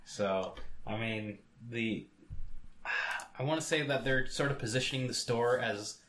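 A man's voice: mid-range voice, a little slow, a bit bright, reverberant, a little hiss or hum. A man co-hosting a casual tech podcast, rambling with many fillers. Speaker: podcast co-host.

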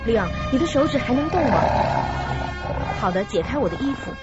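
A woman acting an animated, mysterious young heroine. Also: a little high, brisk, close to an everyday voice. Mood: pained, urgent. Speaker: actress in an audio drama. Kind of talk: suspense radio drama acting. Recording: dull lo-fi sound, loud music behind.

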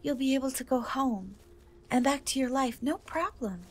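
A woman voicing a kind, timid half-cat young woman in a soft, hesitant fantasy ASMR roleplay. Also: high voice, lively intonation, normal speed. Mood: reassuring. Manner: hesitant, soft-spoken, often slipping into whisper. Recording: noticeable background noise and ambience.

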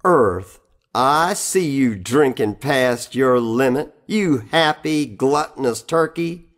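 Slow, dramatic man reading poetry aloud. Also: mid-range voice, expressive. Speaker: poetry reader.

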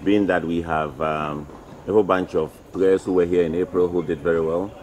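A middle-aged man hosting a casual interview at an outdoor tennis court, talking haltingly with many fillers into a table mic. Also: deep voice, fairly even intonation, a little mellow, slight background noise. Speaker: interview host, tennis federation president.

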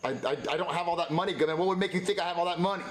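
Man playing a hurried, hapless puppet dad. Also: sits high, fairly even intonation, a little hiss or hum, close to an everyday voice. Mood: confused, pleading. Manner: stammering, defensive. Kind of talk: comedic puppet show acting.

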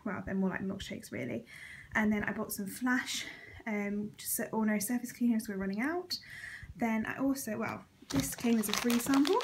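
A woman's voice: a little high, noisy background. A young woman chatting casually through a grocery haul vlog, laughing lightly between items, filmed on a phone at arm's length in a living room. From England. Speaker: vlogger. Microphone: phone camera at arm's length.

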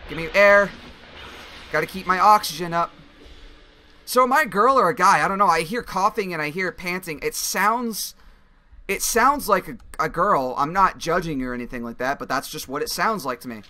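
A young man with a slightly raspy voice giving casual, excitable commentary while playing a game, speaking into a headset mic. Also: on the high side, normal speed. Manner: excitable, swears and yells when he fails. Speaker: gaming video creator. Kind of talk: casual let's-play commentary.

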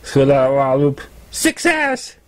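A man reading a story aloud as an amateur narrator, with halting, stumbling delivery. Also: mid-range voice, a little mellow, breathy edge. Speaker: amateur fan fiction reader.

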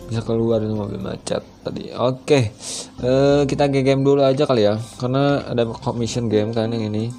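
A man's voice: medium pitch, steady tone, brisk, few pauses, warm tone. A young man with an Indonesian accent giving casual, slangy gameplay commentary into a headset mic. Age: young adult. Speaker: gaming YouTuber. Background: game music.

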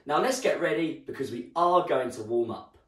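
A young man with a croaky voice, leading an online home workout as a fitness instructor and giving encouraging instructions while he moves. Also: medium pitch, lively intonation, normal speed. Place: living room at home. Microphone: far camera. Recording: roomy sound from far off.

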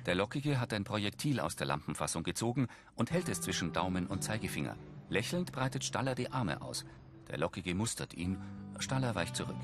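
A man reading audio description for a TV series in a neutral, matter-of-fact way. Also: on the low side. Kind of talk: scripted descriptive narration of a TV series.

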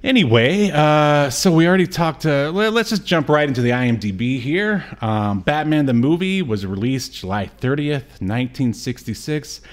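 A man's voice: on the high side, expressive, normal speed, hardly any pauses. Rambling man hosting a casual film chat. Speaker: podcast co-host. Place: indoor room on a video call stream.

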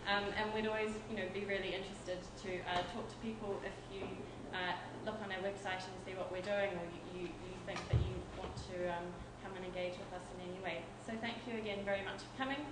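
A woman's voice: medium pitch, fairly even intonation, fast, hardly any pauses. A woman giving an informal company presentation at a tech meetup, hesitant, with frequent fillers and restarts. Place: event venue with an audience. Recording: echoey room from far off, noisy.

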